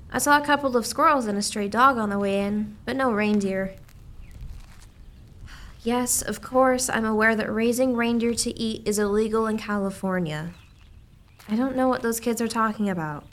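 A woman's voice: a little high, a bit bright. A woman playing a chatty American local reporter in a radio play, folksy and conversational. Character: chatty local reporter, mother of two, skeptical but sympathetic.

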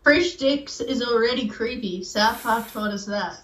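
A woman's voice: fairly high pitch, a little slow, reverberant, rough recording. A woman co-hosting a podcast from home, chatting in a laid-back, blunt, self-deprecating way. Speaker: podcast co-host. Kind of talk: casual chat.

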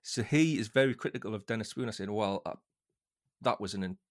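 A man's voice: fairly low pitch, fairly quick. A man chatting casually as a fan on a podcast, rambling a little hesitantly.

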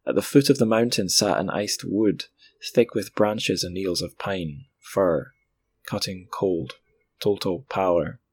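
A man's voice: low-pitched, unhurried, darkish tone, breathy edge, dry recording. A man hosting a podcast, speaking in conversation or reading aloud. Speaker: podcast host.

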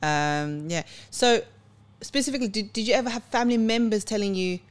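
A woman's voice: medium pitch, a little fast. Chatty woman hosting a podcast. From Sweden. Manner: chatty, rambling with restarts. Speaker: podcast host. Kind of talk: casual chat.

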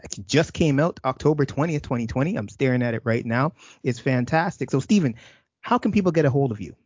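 Canadian lecturer hosting, super enthusiastic, dry muffled audio. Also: male voice, medium pitch, animated, brisk, a little mellow, husky, dry recording. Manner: enthusiastic, effusive praise. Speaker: university lecturer, host. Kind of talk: podcast interview. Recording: dry, dull lo-fi sound.

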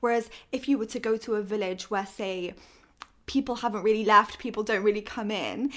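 A woman's voice: medium pitch, fairly quick. Female podcast host, mid-range voice, chatty and conversational interviewing.

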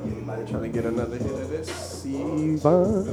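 A man's voice: medium pitch, normal speed. A man co-hosting a podcast, bantering in slangy casual chat. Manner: lively, laughing, slangy banter. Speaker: podcast co-host. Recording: music playing behind.

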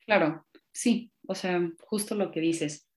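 A woman's voice: fairly low pitch, slow pace. A young woman from Monterrey with a Mexico City Spanish accent, chatting casually and playfully as a podcast guest. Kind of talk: casual chat.

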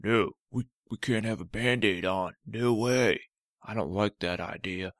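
A man voicing a stubborn male talking toe who complains loudly and insistently. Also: lowish voice, measured pace, dry recording, clean studio sound, close to an everyday voice. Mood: complaining.

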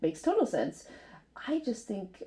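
A woman's voice: mid-range voice, big pitch swings, normal speed, warm tone. A woman co-hosting a casual podcast chat, amused and easygoing. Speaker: podcast co-host.

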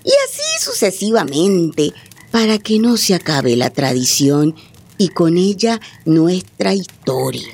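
A woman playing an old grandmother, tender but firm, telling a tale dramatically in a radio drama with a husky voice. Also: fairly low pitch, expressive, darkish tone, exaggerated voice. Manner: unhurried, dramatic oral storytelling.

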